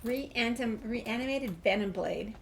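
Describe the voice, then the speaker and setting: A woman's voice: fairly high pitch, measured pace, very noisy. A woman hosting a gameplay video, thinking aloud in casual, rambling commentary. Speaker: gaming video host.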